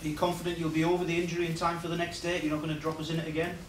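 A man's voice: fairly high pitch, little pitch movement, rapid-fire, distant mic. A man asking questions as a reporter at a press conference. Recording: echoey room, off-mic, clear background noise.

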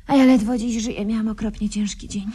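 An actress playing a coolly deadpan, mock-tender wife who bickers absurdly with her husband in a radio comedy sketch. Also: on the high side, quick pace, slightly rough.

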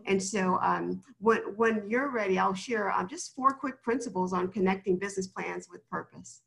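Earnest woman panelist, conference-speaker polish, mid pitch, touch of fry. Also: moderate pace, rough recording. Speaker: business consultant, panelist. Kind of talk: panel talk. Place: indoor room. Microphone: webcam on video call.

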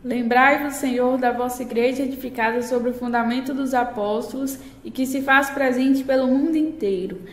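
A woman's voice: high voice, fairly even intonation, moderate pace, few pauses. A woman reading liturgical intercessions as a prayer leader. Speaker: prayer reader. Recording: echoey hall with long reverb.

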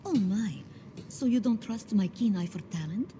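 An actress playing a poised, calculating secret organiser in a video game, speaking in a composed, formal and persuasive way. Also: female voice, medium pitch, expressive, moderate pace, warm tone, natural voice. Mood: coolly amused. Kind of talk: video game cutscene voice acting. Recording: reverberant hall, faint background noise.

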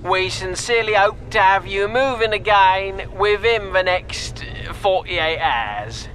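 A man acts out a train driver giving a comic passenger announcement. The voice is high and forceful, with slow, deadpan apologies. There is faint noise behind it. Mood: deadpan apologetic. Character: cheerfully dry London Underground train driver on tannoy.

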